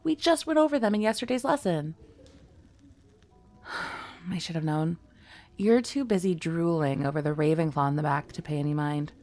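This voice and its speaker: A woman playing an excitable, bookish, friendly young witch student in a roleplay, chatty and earnest, speaking straight to the listener. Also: low voice, moderate pace, pauses often, faint music behind, close to an everyday voice. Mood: teasing. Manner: chatty, rambling, giggly, earnest. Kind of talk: scripted one-sided roleplay addressed to the listener.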